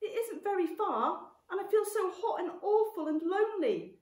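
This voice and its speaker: Woman as a high, plaintive little boy. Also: big pitch swings, moderate pace, darkish tone. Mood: pleading, miserable. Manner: plaintive, pleading. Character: small, miserable, pleading orphan boy. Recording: roomy, echoey, distant mic.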